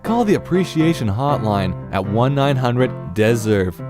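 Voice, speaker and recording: A man playing a smug commercial pitchman with a slick sales delivery in a parody infomercial. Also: sing-song, a little slow. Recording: guitar music behind the voice.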